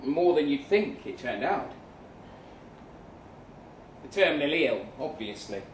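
A man reading a first-person short story aloud dramatically, with measured delivery and deliberate pauses. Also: on the high side, sing-song. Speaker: short story reader at a live event. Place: event room in a pub. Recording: roomy, distant mic with faint noise.

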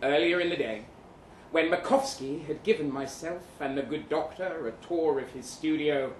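Actor as pompous, slow-spoken lecherous soldier, roomy sound. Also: male voice, a little high. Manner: pompous, florid, savouring each phrase. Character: boastful, lecherous crippled Russian soldier advising lovelorn friend. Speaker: actor reading a short story at a live event. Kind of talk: theatrical first-person story reading. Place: indoor live reading event in London venue. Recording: roomy live venue, background noise.

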